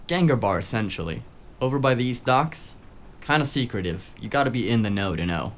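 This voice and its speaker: An actor playing a cheeky, eager, tech-savvy sidekick in a noir audio drama, with breezy, casual banter. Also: male voice, medium pitch, expressive, fairly quick, slight background noise, close to an everyday voice. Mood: casual, informative.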